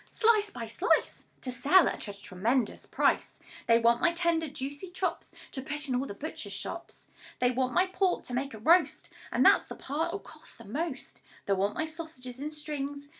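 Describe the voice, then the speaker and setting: A woman's voice: sits high, a bit bright, pitched above the performer's own voice, full character voice. A woman voicing a clever, brooding male pig in a storybook poem, declaiming dramatically.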